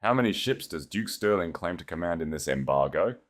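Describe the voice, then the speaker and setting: A man voicing a cold, shrewd queen in an audiobook, measured, composed and quietly commanding. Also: low-pitched, expressive. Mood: icy command. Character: cold, shrewd, imperious queen, calm and calculating. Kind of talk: audiobook character acting.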